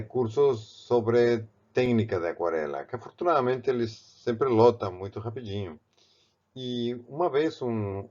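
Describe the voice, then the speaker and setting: Man, Spanish accent, low-pitched, halting art lesson with restarts, dull lo-fi sound. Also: darkish tone. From Spain, Barcelona. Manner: halting, with frequent restarts and fillers. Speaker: art teacher. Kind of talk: step-by-step art tutorial.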